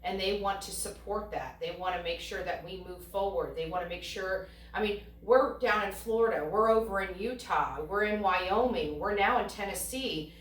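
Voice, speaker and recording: A woman's voice: medium pitch, normal speed, few pauses. A woman giving earnest, emphatic interview answers, often building them in repeated phrases. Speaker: online video creator, interviewee. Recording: roomy, distant mic, faint noise.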